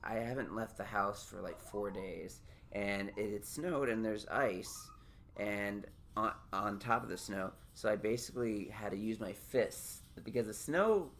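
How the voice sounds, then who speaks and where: A man's voice: fairly low pitch, a little slow, fairly bright tone, a little hiss or hum. Rambling, joking male podcast host. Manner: rambling, self-deprecating, joking. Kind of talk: casual interview chat.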